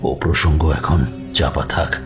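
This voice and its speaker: A man's voice: a bass voice, fairly even intonation, a little slow. An actor playing an aggrieved, evasive male believer in the occult, protesting and justifying himself emotively in an audio mystery. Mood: overcome. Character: aggrieved, evasive gentleman who believes in tantric magic. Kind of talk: dramatised Bengali mystery audio story. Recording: music behind the voice.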